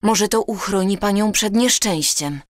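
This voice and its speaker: Actress as pleading female time traveller, audio drama: courteous, insistent, mid-pitched, very dry recording. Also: unhurried, no room sound, natural voice. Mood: earnest, pleading. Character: earnest, pleading granddaughter from the future.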